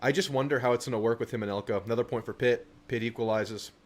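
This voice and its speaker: A man's voice: mid-range voice, quick pace. A young man hosting a casual live stream, reading viewer messages aloud and answering them. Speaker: livestream host.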